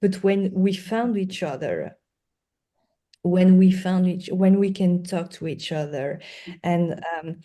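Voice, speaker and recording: Female podcast guest, non-native English speaker. Soft dark tone, unhurried, earnest and halting, repeats words for emphasis. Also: on the low side. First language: not English. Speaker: guest lawyer. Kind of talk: conversational podcast interview.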